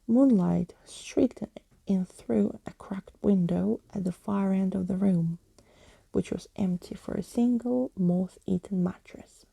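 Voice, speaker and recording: Woman, ASMR whisper, very mellow, breathy, deliberate storybook narration, unhurried, close and dry. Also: mid-range voice, dry recording. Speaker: ASMR host reading a story aloud.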